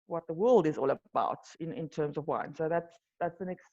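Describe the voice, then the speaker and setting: A woman from South Africa whose first language is Afrikaans talks in a lowish voice. She fills her answers with "um" and "uh" and often restarts phrases. The audio is muffled and lo-fi. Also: normal speed, darkish tone. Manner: hesitant, many fillers and restarts. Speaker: wine tour business owner. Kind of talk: interview answers.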